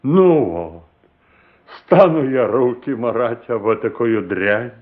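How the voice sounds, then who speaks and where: An actor playing a gruff, plain-spoken household cook in a radio play, offhand, dismissive and drawling. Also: male voice, medium pitch, lively intonation, takes it slowly. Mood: dismissive, evasive. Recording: muffled, narrow-band radio play recording.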